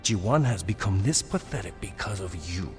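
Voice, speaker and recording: A man's voice: low voice, lively intonation, moderate pace. An actor voicing a cold, contemptuous villain swordsman in a game cutscene, speaking with a sneer. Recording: game audio with clear music behind.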